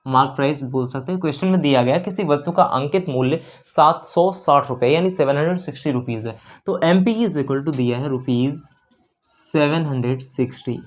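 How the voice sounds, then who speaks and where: A man's voice: a little high, normal speed. A man teaching an exam-prep lesson step by step, repeating phrases and mixing in English terms. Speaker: maths teacher.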